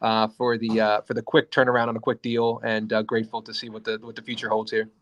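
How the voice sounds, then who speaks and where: A man, a guest on an interview, telling his story in a steady, unhurried way. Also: on the low side, lo-fi sound. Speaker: interview guest, jet broker. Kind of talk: casual interview talk.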